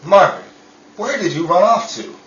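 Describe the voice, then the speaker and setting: A man's voice: high voice, expressive, normal speed, a bit bright. A befuddled, forgetful radio husband of the 1930s acted by a man, mild and well-meaning. His intonation swings widely, and the recording is dull, echoey and a bit far from the mic. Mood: concerned. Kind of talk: old-time radio comedy-drama acting. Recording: dull, roomy, distant, faintly noisy.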